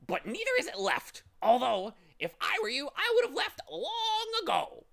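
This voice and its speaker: Filthy storybook bird, shrill, cackling, forceful, meddling, theatrical, self-pitying. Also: male voice, wildly swooping pitch, moderate pace, creaky, exaggerated voice. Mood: gleeful, mocking. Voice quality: shrieky, cackling. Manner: interrupting, gleefully literal, theatrical.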